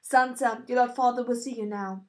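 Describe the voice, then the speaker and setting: A woman voicing a brisk, stern governess in an audiobook, with a sharp, commanding manner. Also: on the high side, steady tone, natural voice.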